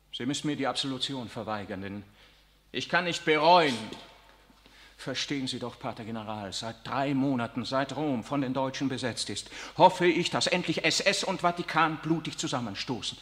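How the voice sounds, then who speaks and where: An actor playing an anguished young priest in a 1960s radio drama, speaking intensely, moving from hushed to impassioned. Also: male voice, mid-range voice, natural voice. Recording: reverberant studio stage.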